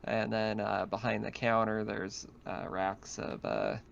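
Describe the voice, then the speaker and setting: A man's voice: fairly low pitch, sing-song, a little slow, vocal fry, a little hiss or hum. A man casually narrating a tabletop game as game master over online voice chat. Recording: slightly dull online voice chat.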